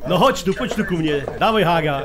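Older man, Polish highlander accent, radio drama, bossy uncle, highish swooping voice. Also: expressive, a little fast, crowd noise. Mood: blunt, teasing.

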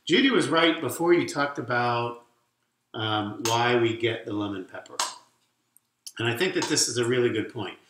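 A middle-aged man walks viewers through a home recipe, joking lightly as he works. His voice sits at an ordinary middle pitch. The sound carries the echo of a home kitchen with a faint hiss underneath. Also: animated, normal speed. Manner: explanatory, jokey asides while working with his hands. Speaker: cooking show co-host. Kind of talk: casual cooking demonstration. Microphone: camera mic across the kitchen. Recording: echoey kitchen with faint noise.

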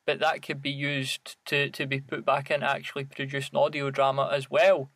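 A man's voice: mid-range voice, little pitch movement, moderate pace, a bit bright, no echo. A man co-hosting a podcast in casual, conversational chat. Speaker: podcast co-host.

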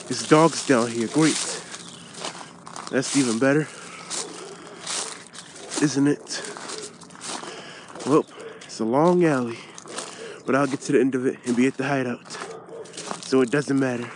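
A man's voice: mid-range voice, fairly even intonation, a little slow, long pauses, background noise, lightly acted. A young man playing a brash, chatty young thief who rambles to himself while walking an alley, recorded on a close-held phone outdoors. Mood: grumbling. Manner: talks to himself in a restless ramble.

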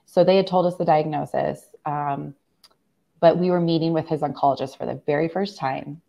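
A middle-aged American woman, hoarse from laryngitis, telling a personal story as a guest in a casual video-call interview. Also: low-pitched, fairly even intonation, normal speed, warm tone. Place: room at home, on a video call.

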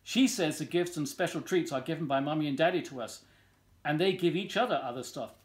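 A man in his sixties reading a children's storybook aloud in an expressive, deliberate storytelling manner, recorded in a living room at home. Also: fairly high pitch. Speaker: volunteer story reader.

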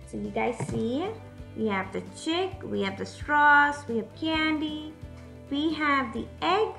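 A young woman reading a picture book aloud to young children in an expressive, teacherly way, pausing to put questions to them. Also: high-pitched, gravelly. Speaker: children's storyteller. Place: room at home, indoors. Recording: echoey room, music in the background.

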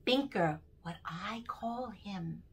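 An older woman reads verse aloud for children at a slow, unhurried pace. Her pitch sits in a normal range for a woman, and she phrases each line expressively, leaving dramatic pauses. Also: a little hiss or hum. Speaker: storyteller reading aloud. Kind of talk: expressive children's poetry reading. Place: small furnished room indoors.

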